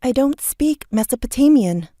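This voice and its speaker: A woman's voice: sits high, animated, normal speed, warm tone, dry recording, clean studio sound. A woman acting a guarded, irritable magician who gives evasive, clipped answers in an audio drama. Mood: guarded, irritated.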